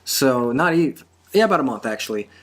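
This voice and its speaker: A man's voice: medium pitch, sing-song, fairly quick, fairly bright tone. A man hosting a wrestling predictions podcast in a casual panel discussion.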